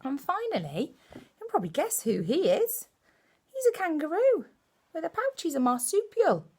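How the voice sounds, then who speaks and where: A woman reading a picture book to children like a primary teacher, expressive and exclaiming, with chatty asides. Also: extremely high pitch, normal speed. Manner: expressive, exclaiming, with gasps and hushed dramatic moments.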